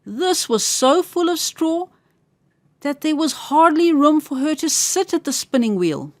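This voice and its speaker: High, very animated woman storyteller. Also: unhurried, no echo, clean studio sound. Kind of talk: scripted fairy-tale narration for children.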